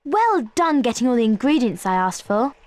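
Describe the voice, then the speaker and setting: A clever, bossy British schoolgirl in a fantasy game. Her high voice is earnest and precise, with music underneath. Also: sing-song, moderate pace, a little mellow.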